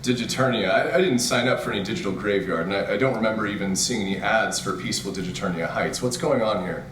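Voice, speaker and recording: Man playing a low-voiced, crotchety old grandfather. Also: noisy background, lightly acted. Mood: disbelieving. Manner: halting, stammering, grumbling. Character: crotchety, bewildered retired grandfather, newly dead and digitized. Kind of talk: scripted audio drama acting. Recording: music behind the voice.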